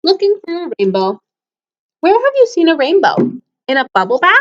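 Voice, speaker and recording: A woman's voice: very high voice, very animated, brisk, lo-fi sound. A woman teacher reading children's poems aloud and explaining things to young listeners, often putting questions to them. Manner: addresses children with frequent questions.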